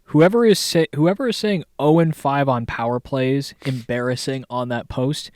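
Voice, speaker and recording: A young man co-hosts a sports podcast in casual chat and speaks bluntly, swearing freely. His voice sits at an ordinary middle pitch. The recording is dry, with no room echo. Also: normal speed, a little mellow. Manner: blunt and profane. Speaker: podcast co-host.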